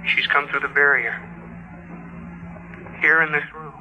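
A man's voice: a little high, steady tone, a little slow, close to an everyday voice. An actor playing an obsessed man desperate to reunite with a lost woman, urgent and fervent, in 1950s radio drama style. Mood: fervent, awestruck. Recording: dull vintage radio recording.

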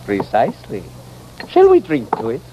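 An actor playing a pompous little financier with florid, oratorical speech, in an old-time radio western. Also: male voice, medium pitch, huge pitch swings, moderate pace, lo-fi sound. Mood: grandiose. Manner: florid, oratorical, name-dropping. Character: pompous, grandiose little financier and smooth-talking swindler. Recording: noisy vintage radio recording.

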